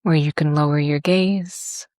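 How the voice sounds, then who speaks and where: A woman teaching a guided meditation and body scan in a gentle, unhurried manner with long pauses. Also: sits low, fairly even intonation, dry recording, clean studio sound.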